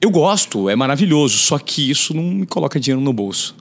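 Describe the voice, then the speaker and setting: A man in his forties, a journalist guest on a podcast, talking in an animated way and acting out quotes in a raised voice, recorded in a studio on a boom-arm mic. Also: fast talker, vocal fry. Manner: animated, gestures a lot, acts out quotes in a raised voice. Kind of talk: conversational interview. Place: podcast studio with brick wall.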